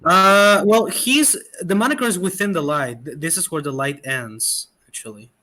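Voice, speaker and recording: A man running a tabletop role-playing game as game master, making quick rules calls and describing the scene. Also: sits high, bright, forceful tone.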